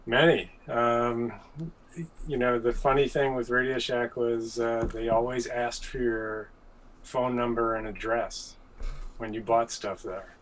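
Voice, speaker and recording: A man's voice: mid-range voice, level tone, unhurried, a bit bright, low-quality recording. A man speaking casually as a guest on a talk show. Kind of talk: casual chat. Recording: noticeable background noise.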